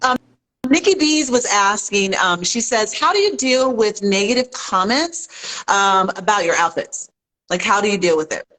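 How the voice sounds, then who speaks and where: A woman hosting a casual live interview, backing her guest with steady mm-hmms and reading out viewer questions. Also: mid-range voice, moderate pace, low-quality recording. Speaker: interview host.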